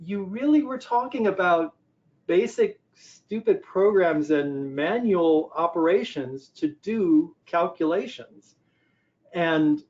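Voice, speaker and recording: Older man, slightly high voice, conversational presenter, explanatory, question-and-answer phrasing, dull lo-fi audio. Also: darkish tone. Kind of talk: conversational business technology presentation.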